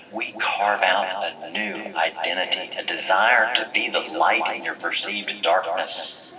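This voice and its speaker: An actor playing a stern, solemn village leader giving measured, commanding oratory in an audio drama. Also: male voice, low voice, roomy sound, distant mic, highs cut off. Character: stern, solemn village leader presiding over a memorial and judgment. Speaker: community leader. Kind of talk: formal speech in an audio drama.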